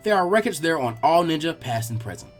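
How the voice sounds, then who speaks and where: A man's voice: a little high, sing-song, brisk, soft background music. A man voicing an eager, bookish girl archivist in a fan fiction reading, proud, fussy and insistent.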